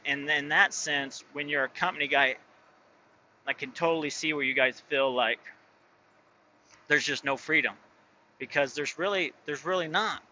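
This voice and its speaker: A man's voice: mid-range voice, sharp tone, muffled recording, a little hiss or hum. A man who drives his own truck, talking casually and rambling, full of fillers and restarts. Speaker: truck driver and owner operator. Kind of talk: casual vlog talk.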